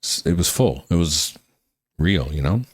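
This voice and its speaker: A man with a bass voice co-hosting a music reaction video, talking casually in halting, restarting sentences. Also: lively intonation, slightly rough, dry recording, studio quality. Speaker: co-host of a music reaction channel. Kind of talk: casual chat with a partner.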